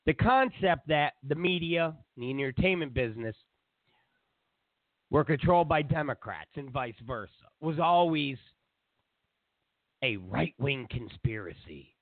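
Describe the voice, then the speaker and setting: A congested man with a stuffy nose hosts a political talk show. He rambles sarcastically in a lively, slow-moving voice. The sound is tinny and phone-like, and dry. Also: on the high side, dry recording. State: congested from a cold. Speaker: show host.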